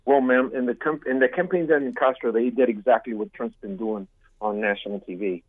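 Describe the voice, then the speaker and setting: A man's voice: medium pitch, no room sound. Cuban-born man calling in on phone. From Cuba. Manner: earnest, halting, repeats himself. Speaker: caller. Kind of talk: call-in over the phone. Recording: tinny phone line.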